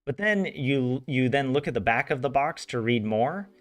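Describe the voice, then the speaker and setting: Chatty man rambling over soft music. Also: medium pitch, expressive, normal speed. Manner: rambling, chatty, with frequent laughs and restarts. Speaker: streamer learning a language. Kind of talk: casual live-stream chat and translation.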